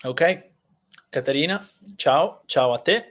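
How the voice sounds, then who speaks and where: A man who is a native Italian speaker, hosting a casual live-stream lesson, talking in a chatty, halting way with many fillers. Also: on the high side, fairly bright tone.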